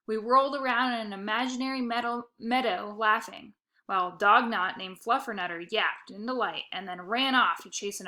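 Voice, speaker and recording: Bright, expressive woman reading a children's book. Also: mid-range voice. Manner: expressive, playful first-person reading. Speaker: narrator. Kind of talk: reading a children's novel aloud.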